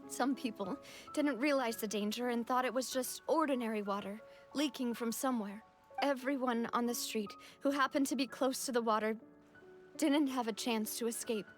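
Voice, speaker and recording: A woman's voice: fairly high pitch, moderate pace, soft background music, close to an everyday voice. An actress playing an earnest, compassionate young woman leader shaken by disaster, speaking solemnly and with composure in a video game. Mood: grave.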